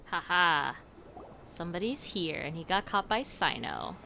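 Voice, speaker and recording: Low-pitched woman streamer reacting casually. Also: big pitch swings, measured pace, creaky, pushed and bright, slight background noise. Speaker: gaming streamer. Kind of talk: casual let's-play reaction commentary. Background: game music.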